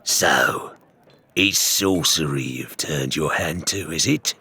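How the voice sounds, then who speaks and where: A man's voice: rumbling low, slow pace, sharp tone, breathy edge, pitched below the performer's own voice, heavily acted. A man voicing a hostile pirate captain in an audiobook, sneering and demanding as he challenges a rival. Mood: scornful.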